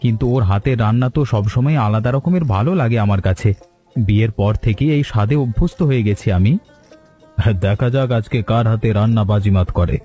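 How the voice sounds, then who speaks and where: Cartoon role: a man playing a good-humored husband, mellow and warm, over soft music. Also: lowish voice, a little fast, lightly acted.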